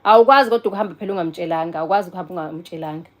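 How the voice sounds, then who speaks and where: A woman's voice: fairly low pitch, rapid-fire. Chatty South African woman in her forties. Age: 40s. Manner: chatty, answers viewers and phone calls, switches between English and Zulu. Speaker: live streamer. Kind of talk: casual live-stream chat.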